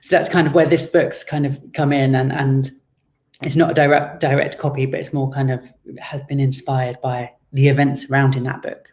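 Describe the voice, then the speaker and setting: A man giving conversational interview answers as a guest author, explaining things in a measured way. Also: medium pitch, little pitch movement, darkish tone.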